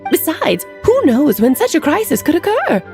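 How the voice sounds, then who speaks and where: A woman's voice: high voice, huge pitch swings, normal speed, creaky, a little mellow, soft background music, full character voice. A woman playing a playful, flamboyant, mischievous witch who talks in a theatrical, teasing, sing-song way in game cutscene dialogue.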